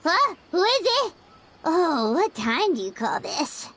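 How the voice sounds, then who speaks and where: A woman voicing a small, childlike fairy companion in an anime video game, squeaky, indignant and exclamatory. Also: sing-song, takes it slowly, a bit bright, full character voice. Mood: sleepy, grumpy. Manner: exclamatory, refers to self in third person.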